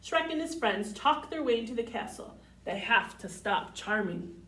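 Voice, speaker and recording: Woman storyteller, animated and high-pitched. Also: normal speed, a little hiss or hum. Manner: sing-song, addressing children with simple questions. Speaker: children's library storyteller. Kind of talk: storybook read-aloud for young children. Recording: roomy, distant microphone.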